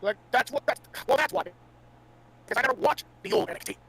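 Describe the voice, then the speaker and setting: A man on a casual group podcast, excitable, indignant and sweary. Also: very high voice, quick pace, slight background noise. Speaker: wrestling podcast co-host.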